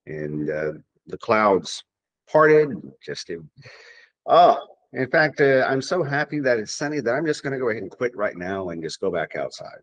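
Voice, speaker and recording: A man's voice: medium pitch, big pitch swings, normal speed, dull audio. A man from Tennessee, a podcast guest talking in a rambling, hesitant way, full of restarts and fillers. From Tennessee, USA. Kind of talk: casual podcast chat.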